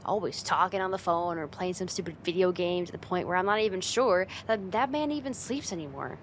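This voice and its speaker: A woman's voice: on the low side, expressive, fairly quick, slight background noise, close to an everyday voice. A woman playing a friend's teasing older sister in a casual, conversational ASMR roleplay spoken straight to the listener. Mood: chatty, exasperated.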